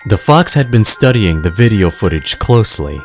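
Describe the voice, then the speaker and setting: A man's voice: lowish voice, big pitch swings, moderate pace, faint music behind. A man narrating a scripted audio drama in a dramatic storytelling manner.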